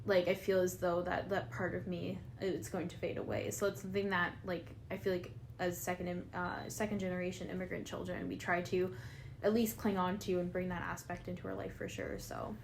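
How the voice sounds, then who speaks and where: A young Canadian woman speaks in a thoughtful, reflective way, and her mid-range voice frays into a gravelly fry. A faint background hiss sits under the recording. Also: lowish voice, little pitch movement, brisk. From Filipino family, raised in Canada. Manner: reflective, gives frequent "mm-hmm" backchannels. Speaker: podcast co-host. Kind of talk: casual conversation.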